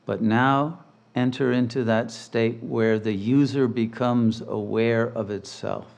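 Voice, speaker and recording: Man, medium pitch, slow guided-meditation delivery, measured phrasing, long pauses, soothing teacher. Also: fairly even intonation, vocal fry, darkish tone.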